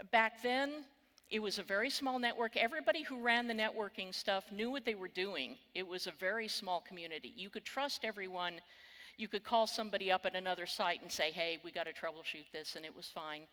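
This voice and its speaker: An older woman with a Texan accent delivers a keynote from a conference stage, wry and candid, swearing now and then. Her voice is bright and forward, and it rings with the long reverb of a large hall. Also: medium pitch, fairly quick. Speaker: keynote speaker.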